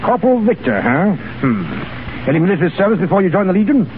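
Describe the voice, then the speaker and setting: An actor playing a gruff, easily amused Foreign Legion commandant in 1930s radio style, barking out clipped questions. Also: male voice, high voice, very animated, fast, phone-quality sound. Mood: amused, approving. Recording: thin, noisy 1930s radio recording.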